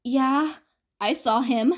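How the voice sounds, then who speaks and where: A woman voicing a sociable, easily exasperated young college woman, with an expressive, bantering delivery. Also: high voice, takes it slowly, voice pushed up. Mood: defensive.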